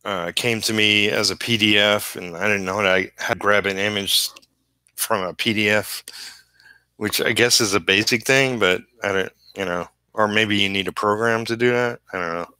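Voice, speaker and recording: A man with a fairly low, sharp-edged voice talks in a dry, close sound. He rambles casually as if to a livestream while working, halting often and easygoing about his own shortcomings. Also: steady tone, clean tone, no room sound. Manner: halting, trailing off mid-thought. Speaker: comic book inker live streaming.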